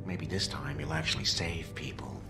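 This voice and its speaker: An actor playing a prickly, condescending corporate security tech expert in a video game, with a snide, sarcastic manner. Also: male voice, a bass voice, steady tone, normal speed, vocal fry. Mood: bitter, needling. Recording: background music under the voice.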